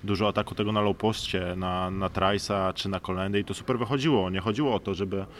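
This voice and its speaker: A man's voice: low voice, steady tone, fast. A man, an athlete guest, giving casual game analysis in a hesitant way, circling back over his words. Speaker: guest, professional basketball player. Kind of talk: casual sports analysis in an interview. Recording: faint background noise and ambience.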